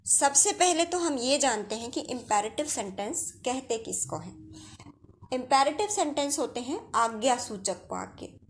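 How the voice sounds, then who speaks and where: A woman's voice: sits high, expressive, normal speed, a little hiss or hum. A woman with an Indian accent teaching a grammar lesson, explaining step by step with examples.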